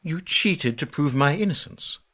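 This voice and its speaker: An actor in a radio drama playing a pompous, officious hotel manager with a formal, clipped, condescending manner. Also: male voice, fairly high pitch, animated, a little slow, fairly bright tone, heavily acted. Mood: haughty.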